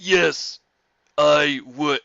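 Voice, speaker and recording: A man's voice: fairly high pitch, extremely slow, gravelly, pushed and bright, no room sound, muffled recording. A man giving a casual amateur dramatic reading as a guest playing character parts.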